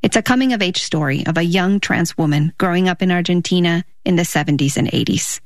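A woman's voice: lowish voice, fairly quick, no room sound, clean studio sound. A woman reading scripted documentary narration as the host of a show. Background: prominent music under the narration.

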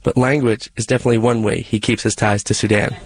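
A male reporter reading scripted narration for a radio feature in a measured, even storytelling delivery. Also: on the low side, no room sound, soft background music.

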